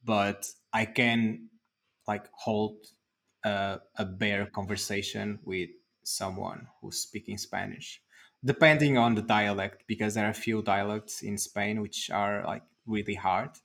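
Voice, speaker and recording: A man's voice: fairly low pitch, steady tone, unhurried, creaky, fairly bright tone. A man with a Portuguese accent hosts a casual podcast chat. He talks at an easy, conversational pace with frequent fillers. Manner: chatty, uses many "like" fillers. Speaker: podcast host.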